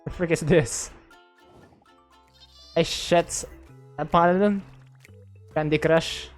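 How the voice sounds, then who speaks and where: A woman's voice: low voice, steady tone, slow, soft background music. A woman with a Filipino accent, reacting casually as she livestreams a game. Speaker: streamer.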